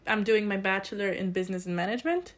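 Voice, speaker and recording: A woman's voice: medium pitch, lively intonation, fast talker. A woman of about twenty with a Polish accent, answering interview questions in an earnest, explanatory, conversational way. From Poland. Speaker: interview guest, student committee chair.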